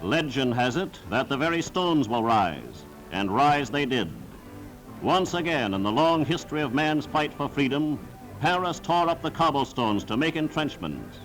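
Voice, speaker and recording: A man's voice: medium pitch, sing-song, normal speed, fairly bright tone, faint music behind, poor audio. A man narrating a scripted 1940s wartime newsreel in a solemn, formal, declamatory style, on a vintage film soundtrack.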